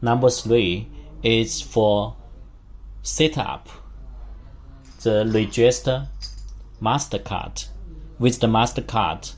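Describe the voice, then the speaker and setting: Slow-talking non-native English-speaking man. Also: fairly low pitch, steady tone. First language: not a native English speaker. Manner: halting, simple phrasing in short chunks. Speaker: product demonstrator. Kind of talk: step-by-step instructional demonstration.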